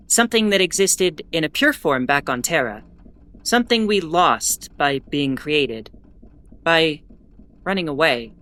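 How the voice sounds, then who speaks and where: A woman playing a weary, sardonic starship broadcaster in an audio drama, giving history in a deliberate, grudging, pointed way. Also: fairly low pitch, fairly bright tone. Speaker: ship's bulletin broadcaster. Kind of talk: in-character educational monologue, audio fiction.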